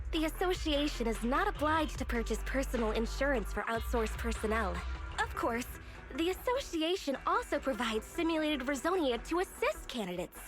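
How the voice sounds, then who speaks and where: Stern, high-voiced female exam official. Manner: formal, strict, cautionary. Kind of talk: video game voice acting. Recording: music behind the voice.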